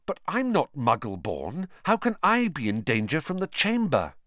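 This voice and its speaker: A man's voice: on the high side, wildly swooping pitch, normal speed, a bit bright, no echo, studio quality, voice pushed up. A man voicing a brave, stubborn schoolboy wizard who asks firm, urgent questions.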